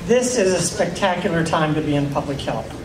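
A man's voice: fairly high pitch, lively intonation, brisk, over a noisy crowd, very noisy. A man, a dean, giving an earnest rallying address to graduates at a podium. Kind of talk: ceremony address. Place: auditorium at a podium. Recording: echoey hall, distant mic.

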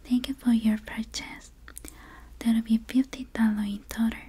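Woman for whispered ASMR shop roleplay: gentle, polite, very breathy, soft dark tone. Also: a little high, level tone, moderate pace. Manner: polite, gentle customer-service phrasing. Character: courteous, gentle female shop clerk. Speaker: ASMR roleplayer playing a stationery shop clerk.

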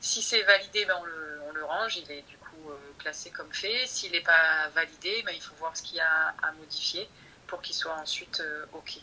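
Thoughtful woman with a bright, tense voice. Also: low voice, moderate pace, slight background noise, poor audio. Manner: thoughtful, hesitant, many restarts. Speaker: guest in Montessori training. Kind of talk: conversational interview.

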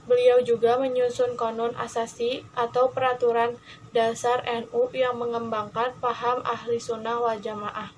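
A young woman reading a prepared history text aloud for a class, in a steady and measured way. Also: fairly high pitch, slight background noise, poor audio.

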